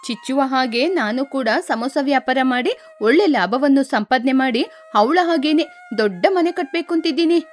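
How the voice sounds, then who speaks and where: A woman plays a boastful female sparrow from a children's cartoon, with a very high, girlish voice. She is a pushy, scheming snack seller, and music plays behind her. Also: moderate pace, few pauses, close to an everyday voice. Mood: smug, scheming. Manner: pushy street-vendor sales talk. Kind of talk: animated children's story dialogue.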